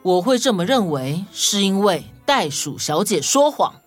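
Casting: woman as principled child sleuth for a kids' audio story, deliberate and logical, very animated pitch, music underneath. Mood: accusing, reasoning. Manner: deliberate, reasoning, matter-of-fact.

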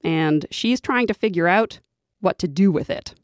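A woman's voice: lowish voice, lively intonation, normal speed, no echo, studio quality. A woman hosting a podcast, telling stories in conversational, scripted narration. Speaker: podcast host.

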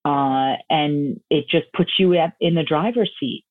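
A woman's voice: sits low, measured pace, no echo. Self-assured woman coach, phone-quality audio, dry and close. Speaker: guest, health coach. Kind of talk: podcast interview answers.

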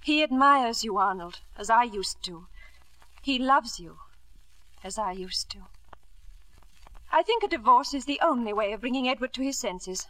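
An actress playing a proud, disillusioned middle-aged wife and mother, crisp and reproachful, in a 1950s radio drama. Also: fairly high pitch, lively intonation, normal speed, pauses often, a bit bright, poor audio. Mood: pained, earnest. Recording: vintage 1950s radio broadcast.

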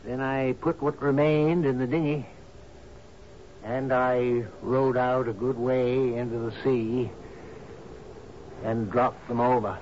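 Radio play role: male actor as anguished, deferential confidant to a captain, unhurried, on a noisy vintage broadcast. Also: medium pitch, level tone, a little mellow, dull audio, natural voice. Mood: haunted confession. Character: anguished, deferential friend confessing to his sea captain. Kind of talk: radio drama acting.

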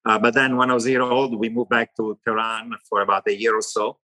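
A middle-aged economist with an Italian accent, answering interview questions in long animated lists. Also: male voice, medium pitch, fairly quick, rough recording. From Italy. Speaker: economist guest.

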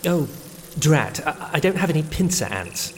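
A man's voice: on the high side, sing-song, normal speed, big-room sound, slight background noise. A man playing a methodical, eccentric insect enthusiast in an audio drama comedy. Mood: unfazed, eccentric.

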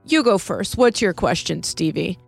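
A woman voicing a serene, gracious spiritual neighbor with a mild accent, speaking in a calm, gentle, unhurried way. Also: mid-range voice, gravelly, husky, soft background music. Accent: mild unplaced foreign accent.

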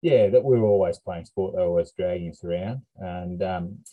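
A man's voice: low-pitched, moderate pace, dark tone, muffled recording. An Australian man from New South Wales chatting casually as a podcast guest, recalling things in a laid-back way. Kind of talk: casual chat, personal recollection.

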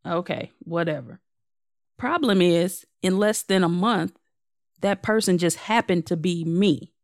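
Slightly hoarse woman hosting a chatty podcast. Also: lowish voice, a little slow, no echo, clean studio sound. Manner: chatty, candid, self-deprecating. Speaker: podcast host. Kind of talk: casual solo podcast update.

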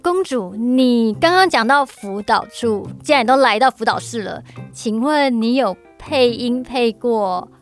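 Woman, high-pitched, Taiwanese Mandarin accent, casual interviewer, playful teasing, background music. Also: expressive, unhurried. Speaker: podcast host.

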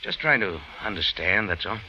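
A man's voice: sits low, lively intonation, normal speed, creaky. An actor playing a tough, wry American private eye who narrates his case in clipped 1940s radio noir style. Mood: guarded. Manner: clipped, hard-boiled delivery. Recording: dull old radio recording with loud music.

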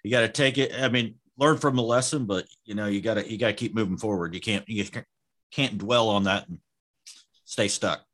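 A man's voice: on the low side, brisk, a bit bright. A man speaking as a guest on a casual podcast, friendly and hesitant, with many ums and uhs. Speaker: podcast guest, car audio shop owner.

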